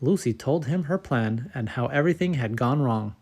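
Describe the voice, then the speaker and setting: A man narrating a children's storybook as host, in a measured, expressive read-aloud manner. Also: mid-range voice, breathy edge. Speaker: storybook reader and channel host.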